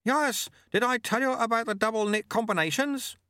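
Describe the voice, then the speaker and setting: A man voicing a brisk, practical sweeper who calls out as if over rushing wind, in an audiobook. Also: very high voice, pushed and bright, smooth, no room sound, studio quality, pitched above the performer's own voice, heavily acted. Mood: brisk, loud.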